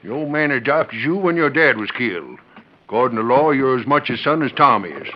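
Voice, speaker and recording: An actor playing a loyal, plain-spoken old cowhand with a folksy, rustic manner in a 1940s radio western. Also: male voice, medium pitch, very animated, a little fast, natural voice. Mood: confiding. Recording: muffled, hissy 1940s radio recording.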